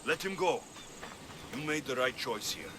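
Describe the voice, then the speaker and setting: An actor playing a tough but kind-hearted young Greek mercenary in a video game. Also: male voice, mid-range voice, expressive, normal speed, noisy background, lightly acted. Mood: firm, consoling.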